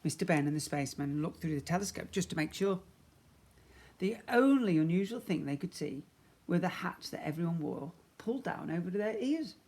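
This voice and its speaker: A man's voice: high voice, expressive, normal speed, a little mellow. Man reading a children's story aloud. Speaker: primary school teacher.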